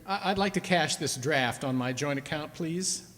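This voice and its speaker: Actor as flustered, stammering husband in echoey hall. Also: male voice, a little high, normal speed, a bit bright, close to an everyday voice. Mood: shocked, dismayed. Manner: stammering, hesitant, easily alarmed. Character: flustered, anxious henpecked husband. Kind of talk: radio play acting. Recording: echoey hall, long reverb.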